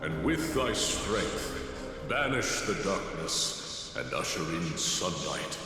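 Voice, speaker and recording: Solemn fantasy game guide, low voice, unhurried, archaic and ceremonial, commanding. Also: male voice, very animated, exaggerated voice. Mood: solemn, grand. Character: solemn commanding male guide into a trial of flames. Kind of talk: scripted fantasy game voice acting. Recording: cavernous echoing reverb over music.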